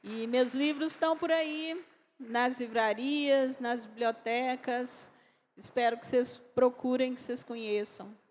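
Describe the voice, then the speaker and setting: A Brazilian woman, an author speaking at a literary festival, talking unscripted in a measured way with pauses and restarts. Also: sits high, fairly even intonation, a little hiss or hum. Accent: Brazilian Portuguese. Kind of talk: unscripted talk answering audience questions. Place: lecture hall at a festival. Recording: long reverb of a large hall.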